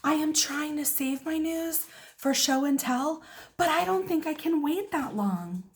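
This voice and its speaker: Woman voicing an excitable, high-pitched little first-grade girl. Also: unhurried. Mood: excited, proud. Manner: exclaiming, emphatic, childlike phrasing. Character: excitable, pushy first-grade girl narrating her journal. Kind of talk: children's storybook read-aloud.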